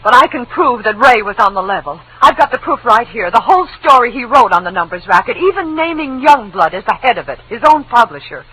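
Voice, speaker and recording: A woman's voice: on the high side, sing-song, brisk, few pauses, sharp tone, breathy edge, heavily acted, low-quality recording. An actress playing a defensive, indignant woman under questioning in a 1940s radio melodrama. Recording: old radio drama recording.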